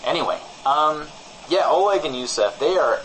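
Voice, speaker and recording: A man's voice: on the high side, expressive, moderate pace, fairly bright tone. Man playing a nervous, shady radio host, noisy background. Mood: flustered. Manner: stammering, repeating himself, defensive. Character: nervous, shady small-town radio host covering for criminal friends. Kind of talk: improvised-sounding comedy radio banter.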